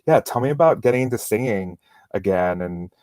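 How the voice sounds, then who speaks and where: A man's voice: on the low side, lively intonation, a little fast, a little mellow, clear, resonant tone, dry recording. A man hosting a show, chatting casually and informally as he feels his way into questions. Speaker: variety show host, interviewer. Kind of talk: casual interview chat.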